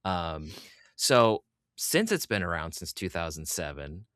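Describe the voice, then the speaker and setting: A man's voice: low voice, very animated, a little slow, fairly bright tone, clean tone, no echo, clean studio sound. A man hosting a podcast interview, chatting casually and adding wry asides for the listeners.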